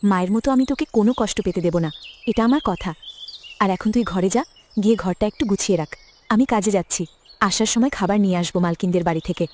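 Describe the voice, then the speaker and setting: A woman's voice: medium pitch, fast talker, a little mellow, slight background noise, close to an everyday voice. A woman voicing an earnest, protective young elder sister in an emotional cartoon story. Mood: resolute, soothing. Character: devoted, protective orphaned elder sister, young village girl. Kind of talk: Bengali cartoon moral-story voice acting.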